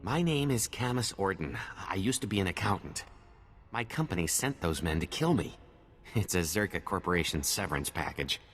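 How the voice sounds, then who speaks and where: An actor playing a nervous, timid former accountant in a video game, anxious and hesitant in delivery. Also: male voice, low voice, big pitch swings, moderate pace, fairly bright tone, in a large hall, soft background music, full character voice. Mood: panicked.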